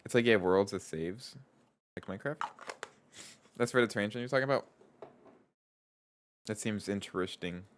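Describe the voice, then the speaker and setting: A man with a stuffy nose, streaming live as a game developer, chatting casually, reading chat aloud and thinking out loud as he codes. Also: fairly low pitch, normal speed, pauses often, slight background noise. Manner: thinks aloud, reads chat aloud, trails off and restarts.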